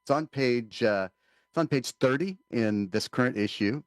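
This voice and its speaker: A man with a Texan accent co-hosting a podcast, talking in a relaxed, rambling way. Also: mid-range voice, moderate pace, dry recording, studio quality. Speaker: magazine publisher, co-host. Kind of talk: casual podcast chat.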